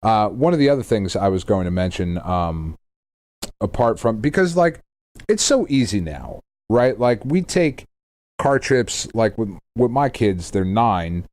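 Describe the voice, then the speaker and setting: A man's voice: fairly low pitch, sing-song, measured pace, a little mellow. A middle-aged American man co-hosting a podcast with casual, rambling, jokey banter and some swearing. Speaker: podcast co-host.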